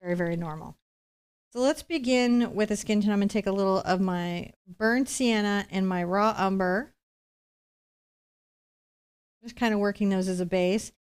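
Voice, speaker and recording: A middle-aged woman leads a live painting lesson in a smooth, clear voice at a medium pitch. She talks conversationally, explaining each step as she goes and adding chatty, reassuring asides. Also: brisk. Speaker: painting instructor, host.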